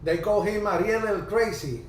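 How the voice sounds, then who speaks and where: Male host, storyteller, slightly high voice, roomy video-call sound. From Cuba. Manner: chatty storyteller, halting restarts. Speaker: ham radio livestream host. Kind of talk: casual group video chat. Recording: distant roomy video-call audio, faint hiss.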